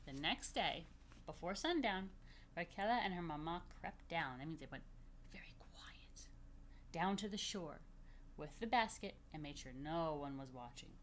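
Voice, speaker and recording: A woman's voice: low-pitched, animated, unhurried. Woman reading to kids, noisy recording. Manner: playful, explains simply with repetition. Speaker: rabbi reading to children. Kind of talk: children's story time, read aloud with chatty asides. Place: virtual story time recorded in a room.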